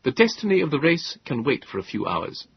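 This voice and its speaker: A man voicing a young, cheerful and stubborn male inventor with a bright, brisk manner. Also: on the high side, huge pitch swings, gravelly, slightly rough, muffled recording, voice pushed up, exaggerated voice.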